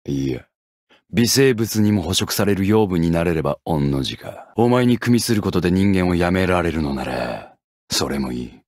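A man voicing a brooding, scornful video game gunman who longs for death, with a menacing, listless, husky delivery. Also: sits low, expressive, normal speed, a little mellow, no room sound, studio quality. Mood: brooding, weary. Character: brooding death-seeking male gunman, scornful, longing for rebirth. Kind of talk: anime-style video game voice acting.

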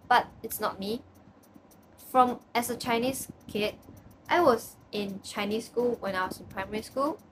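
A woman's voice: fairly high pitch, background noise. A nineteen-year-old woman from Malaysia with a Malaysian English accent, talking casually about herself in her bedroom, often restarting her sentences. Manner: chatty, frequent restarts and repeats. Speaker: student. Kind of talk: casual personal video report. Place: small bedroom, indoors. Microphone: webcam at desk distance.

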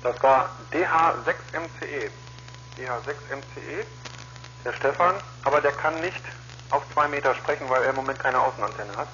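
A man's voice: mid-range voice, moderate pace, bright, forceful tone. A man in a casual two-way chat as an amateur radio operator, heard over a radio link. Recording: lo-fi ham radio link with hiss.

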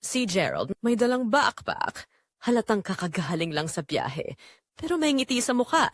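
A woman's voice: mid-range voice, sing-song, moderate pace, dry recording, clean studio sound. A woman with a Filipino accent reading a first-person scripted drama in a confessional, storytelling manner. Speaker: audio drama narrator.

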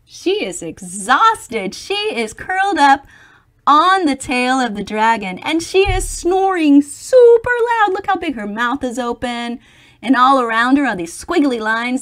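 A woman's voice: a little high, a bit bright. A middle-aged woman reading a picture book aloud expressively, theatrically stretching words and adding chatty asides for young listeners. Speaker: children's storybook reader.

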